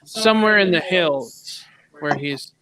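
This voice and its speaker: A man's voice: high-pitched, slow pace, crowd noise, low-quality recording. A man hosting a streamed comedy show, bantering and introducing comics over a video call. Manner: upbeat, lively banter and introductions.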